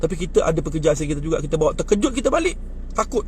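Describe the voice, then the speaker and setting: A man's voice: very fast, a little hiss or hum. A man in his twenties with a Malaysian Malay accent, talking indignantly about politics in a casual outdoor chat by a bus stop. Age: 20s. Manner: animated and indignant, rising in pitch when worked up. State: short on sleep. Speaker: young man airing political views. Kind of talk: casual street chat, speaking to others nearby.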